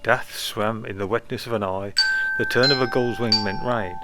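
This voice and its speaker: A man's voice: on the low side, fairly even intonation, normal speed, very noisy. A man reading a short story aloud as dramatic audiobook narration. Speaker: amateur story reader.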